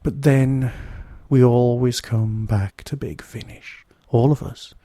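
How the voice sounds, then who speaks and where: A man delivering a solo podcast review in a wry, enthusiastic way, with emphatic pauses. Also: medium pitch, steady tone, dark tone, no echo, studio quality.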